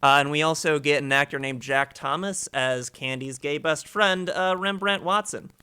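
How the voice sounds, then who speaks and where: A man's voice: fairly high pitch, moderate pace, sharp tone, clear, resonant tone. A man hosting a livestream with informal, enthusiastic chat and introductions. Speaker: host of a listening livestream.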